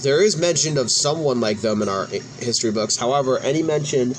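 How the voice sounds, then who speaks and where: A man's voice: mid-range voice, brisk, a little hiss or hum, poor audio. A man hosting a let's play, reading game cutscene dialogue aloud and sometimes stumbling and restarting words as he goes. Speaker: gaming video host. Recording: background music behind the voice. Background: music.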